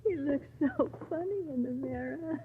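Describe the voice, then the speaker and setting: A woman's voice: high-pitched, animated, measured pace. An actress playing a delirious woman in an old radio drama, eerie, childlike, rambling and repeating herself. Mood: giddy, delirious. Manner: rambling, repeating words dreamily. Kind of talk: old-time radio acting. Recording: hissy, muffled vintage radio recording.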